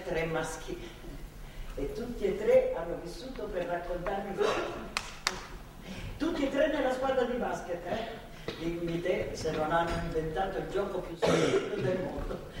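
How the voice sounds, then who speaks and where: A woman's voice: mid-range voice, lightly acted. An actress playing an older, motherly woman guarding a captive in a stage drama, folksy, stubborn and rambling. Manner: folksy, rambling, matter-of-fact. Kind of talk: theatrical stage drama acting. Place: theatre stage, picked up from afar. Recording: echoey hall, distant mic, noisy ambience.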